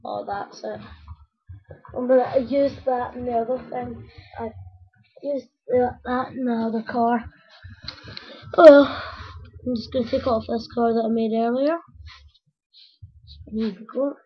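A woman with a croaky voice, rambling casually to her viewers as she builds a toy step by step. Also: a little high, steady tone, unhurried, long pauses, a little hiss or hum. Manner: rambling, talks to viewers while working with hands. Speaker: video maker building a toy. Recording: dull lo-fi home recording.

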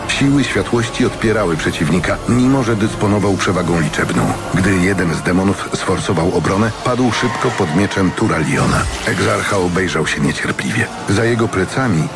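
A man narrating a dramatic fantasy audiobook from a script in a measured, solemn manner. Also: deep voice, animated, music in the background.

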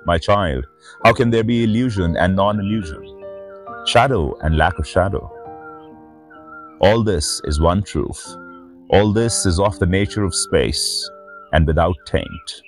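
A middle-aged man reading and commenting on scripture as a spiritual teacher, in a measured, reverent manner. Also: low-pitched. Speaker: spiritual teacher and channel host. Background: background music.

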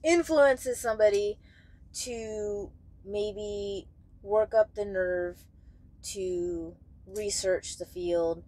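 A young woman talking casually and candidly to camera in a halting way, searching for words as she goes. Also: medium pitch, warm tone. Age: young adult. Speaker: truck driver vlogger. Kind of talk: casual vlog talk to camera. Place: small padded truck sleeper bunk, indoors.

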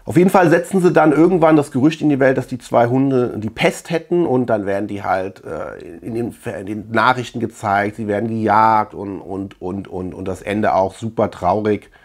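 Halting middle-aged man reviewing films. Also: fairly low pitch. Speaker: host of a film collection channel. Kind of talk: casual film review to camera. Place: small room lined with shelves of collectibles.

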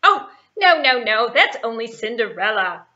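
Woman putting on storybook stepsister, bossy, whiny, jealous, swooping pitch, puppet show, dull recording. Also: very animated, normal speed, sharp tone. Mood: pushy, squabbling.

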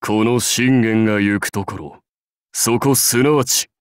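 Guy voicing a proud old-school samurai warlord for a game, lowish voice, really slow and stately, kinda wry. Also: clean tone, dry recording, studio quality, natural voice. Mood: resolute, solemn. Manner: stately, measured, archaic lordly speech. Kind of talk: scripted game character lines.

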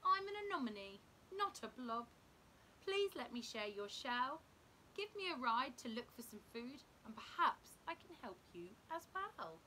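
A proud purple sea anemone from a picture book played by a woman, pitched high. It is persuasive and quick to take offence, with expressive rises and falls. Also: slight background noise, exaggerated voice, rough recording. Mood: pleading.

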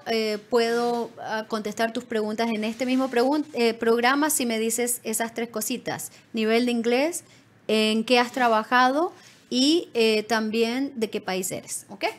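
Woman consultant answering viewer questions, voice a bit high, explains stuff right to the person, close studio mic. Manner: explanatory, addresses viewers directly by name. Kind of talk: conversational advice on a live show. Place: small indoor studio. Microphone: studio microphone on desk stand, close.

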